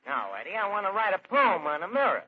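An actor playing a wisecracking, blustering New York tavern manager in an old-time radio comedy, with slangy, hesitant patter. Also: male voice, high-pitched, big pitch swings, fast. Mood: self-important. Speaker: comedy show lead. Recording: dull, noisy old radio recording.

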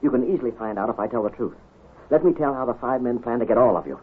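A husky male outlaw in an old radio western, talking fast and pleading to save his skin. He is scheming and insistent, heard through a tinny, narrow broadcast sound. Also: mid-range voice.

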